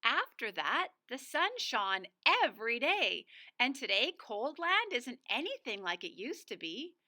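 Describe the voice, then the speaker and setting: Bright, animated woman reading a children's story. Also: fairly high pitch, measured pace. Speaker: storybook reader, school staff. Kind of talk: expressive children's read-aloud.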